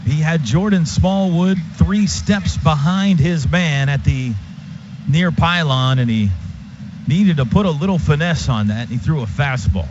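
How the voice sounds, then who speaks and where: A man gives live football play-by-play on the radio in short, clipped phrases that rise on big plays. His voice sits fairly high. The broadcast sound is slightly dull, with faint noise behind him. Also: a little slow. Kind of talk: live American football commentary. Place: stadium radio booth. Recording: noisy, muffled stadium radio broadcast.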